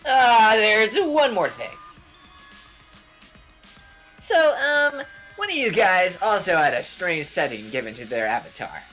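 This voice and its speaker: A woman's voice: medium pitch, sing-song, moderate pace, a bit bright, faint music behind. A woman voicing a childlike robot bear cub, earnestly explaining things in a game fan dub.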